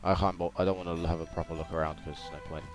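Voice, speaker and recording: A man's voice: low-pitched, level tone, very fast. A young man giving casual let's play commentary, chatty and jokey as he talks over the game. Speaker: gaming video creator. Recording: game music and doubled game audio behind. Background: video game music and sounds.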